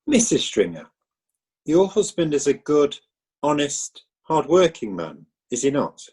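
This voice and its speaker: An actor playing a defence lawyer who leads witnesses with persuasive questions, in a husky voice. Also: male voice, on the high side, very animated, unhurried, darkish tone, exaggerated voice. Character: smooth defending male lawyer. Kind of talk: amateur radio drama.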